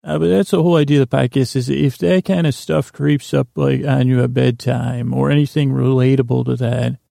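A man hosting a podcast with a meandering, halting bedtime monologue that keeps drifting off track, in a gravelly, creaky voice. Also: medium pitch, steady tone, mellow, no echo, studio quality. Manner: meandering, halting, digressive, loses his thread. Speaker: podcast host. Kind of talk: rambling bedtime monologue meant to help listeners sleep.

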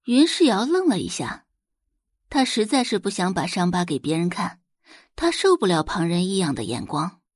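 A woman's voice: fairly low pitch, sing-song, moderate pace, slightly rough, dry recording, clean studio sound. A woman narrating a novel as an audiobook, in a dramatic scripted storytelling style.

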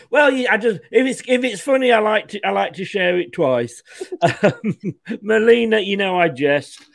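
A man's voice: high voice, animated, unhurried, vocal fry, dry recording, clean studio sound. A man hosting a football fan live stream, rambling and laughing casually while losing his voice. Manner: laughing, rambling, repeats himself. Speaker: host.

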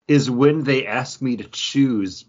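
A man's voice: medium pitch, slow, dull audio. A man hosting a podcast, chatting casually and jokingly about pop culture. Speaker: podcast host.

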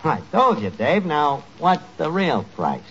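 A man's voice: on the high side, big pitch swings, a little slow, a bit bright, exaggerated voice. An actor playing a gullible, blustering tavern manager in 1940s radio comedy, talking slangy, ungrammatical New York wise-guy English. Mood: shrewd, satisfied. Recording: muffled, noisy 1940s radio recording.

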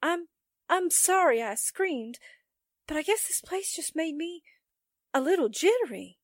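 A woman's voice: very high voice, animated, measured pace, no echo, studio quality. An actress playing a timid, easily spooked newspaperwoman's sidekick in 1940s radio drama style, nervous and faltering. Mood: plaintive.

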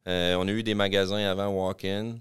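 A man from Quebec City with a Québécois accent, a podcast guest telling his business story in fast, rambling casual chat. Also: deep voice, level tone, a bit bright, clear, resonant tone. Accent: Québécois French. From Quebec City, Canada. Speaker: podcast guest, clothing-store co-founder.

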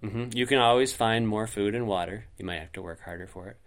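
A man's voice: fairly low pitch, fairly quick. A man co-hosting a podcast in casual chat, explaining points at length with frequent ums. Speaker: podcast co-host.

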